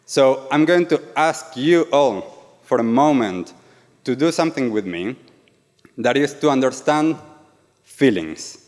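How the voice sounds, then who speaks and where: A man's voice: medium pitch, lively intonation, unhurried, long pauses, a little mellow. A man from Argentina with an Argentine Spanish accent, an earnest yoga and meditation teacher giving a live talk and asking the audience questions. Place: event venue with an audience. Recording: reverberant event hall.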